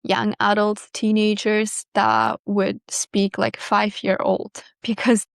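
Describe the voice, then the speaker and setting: Woman expert, smooth and level delivery, measured explainer. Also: medium pitch, level tone, no echo, studio quality. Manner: measured, explanatory, with small restarts. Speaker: bilingual education specialist. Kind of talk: expert interview answers.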